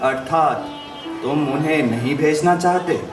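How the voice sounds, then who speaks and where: A man's voice: on the high side, a little slow, natural voice. An actor playing a stern, reproachful great sage in a mythological drama, commanding and pointed in his oratory. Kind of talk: theatrical Hindi mythological audio drama. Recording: roomy distant playback with music behind.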